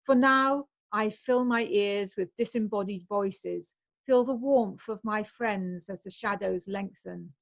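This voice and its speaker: A woman reciting a poem in a measured style on a dull, muffled recording. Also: mid-range voice, gravelly, no room sound. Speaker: amateur poet reading aloud.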